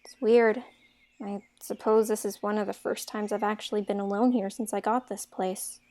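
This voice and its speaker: A woman's voice: medium pitch, flat delivery, normal speed, warm tone, close to an everyday voice. A woman playing a spirited, outspoken young fairytale heroine in an audio drama. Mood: pensive.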